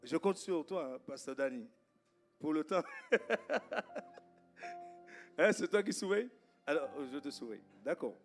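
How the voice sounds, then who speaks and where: A man from Guadeloupe with a Guadeloupean accent, whose first language is Creole, greeting a congregation as guest preacher in a halting way with many fillers. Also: on the high side, expressive, soft background music. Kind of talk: informal welcome before a sermon. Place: church service.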